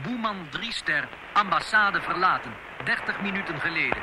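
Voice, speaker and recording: An actor playing a businesslike police radio dispatcher in a radio play, speaking in clipped procedural phrases. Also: male voice, high-pitched, animated, moderate pace. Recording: very noisy radio link, vehicle ambience.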